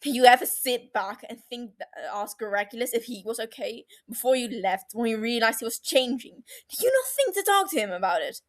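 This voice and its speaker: A woman's voice: fairly high pitch, very animated, brisk, hardly any pauses, pitched above the performer's own voice. A woman playing an angry young man, biting and emphatic. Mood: pressing. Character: angry young man scolding his friend, sharp and condescending.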